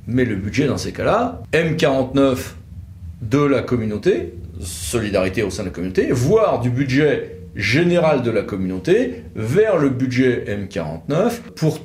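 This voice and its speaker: A man's voice: medium pitch, sing-song, normal speed, darkish tone. A man giving a conversational legal explainer, didactic, repeating words for emphasis. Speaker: legal expert presenter. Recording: echoey room with light noise.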